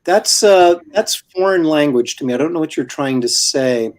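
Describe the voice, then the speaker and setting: A man's voice: fairly high pitch, expressive, moderate pace. A man in his sixties hosting a casual live stream, chatting with a guest and reading viewer comments aloud. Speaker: host.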